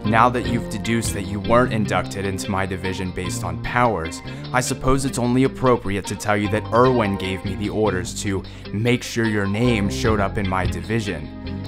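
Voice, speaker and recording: A low-voiced, stern squad leader, acted by a man in a fan-made audio drama. He is sardonic and curt, giving orders dryly like a ruthless mentor. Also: normal speed, over music, natural voice.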